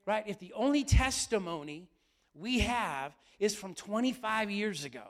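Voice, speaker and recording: Brief: middle-aged male pastor, high voice, slightly raspy, lively sermon that keeps asking questions. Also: unhurried. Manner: lively, asks the room questions often.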